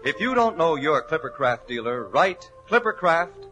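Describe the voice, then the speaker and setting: A man's voice: fairly high pitch, big pitch swings, moderate pace, loud music behind the voice. A man with an American accent announcing in scripted 1940s radio style, polished and emphatic. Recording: old 1940s radio recording.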